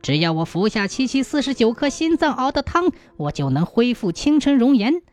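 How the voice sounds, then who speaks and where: A woman's voice: mid-range voice, very animated, moderate pace, mellow, natural voice. A woman storyteller voicing a vengeful, man-hating old nun with an icy, menacing manner. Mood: cold, gloating.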